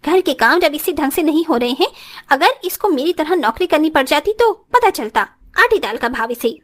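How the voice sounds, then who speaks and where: An actress plays a spoiled young career woman in a Hindi moral cartoon. Her voice sits very high and swings sharply up and down, full of scorn and money pride, with English phrases thrown in. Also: expressive, moderate pace. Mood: irritated. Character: haughty, spoiled young working daughter-in-law who flaunts money. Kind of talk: moral story cartoon acting.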